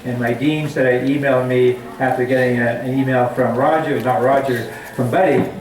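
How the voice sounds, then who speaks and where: A man's voice: medium pitch, steady tone, moderate pace, a crowd in the background. A middle-aged man from Canada, a family physician giving a chatty public talk with many restarts and fillers at a community forum. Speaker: guest speaker, family physician. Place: community hall meeting room. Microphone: handheld mic, far camera. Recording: echoey hall from far off.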